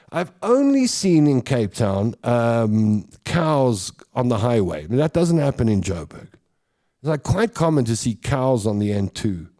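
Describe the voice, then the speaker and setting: Chatty South African man, lively delivery. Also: mid-range voice. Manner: chatty, rambling, jokey, laughs at his own stories. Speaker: host. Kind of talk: comic monologue.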